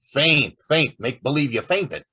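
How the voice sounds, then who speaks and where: A man's voice: on the high side, lively intonation, slow pace, sharp tone, husky, full character voice. A man playing a brash, wisecracking newspaper reporter in old-time radio drama style, slangy and pushy. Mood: urgent, bossy.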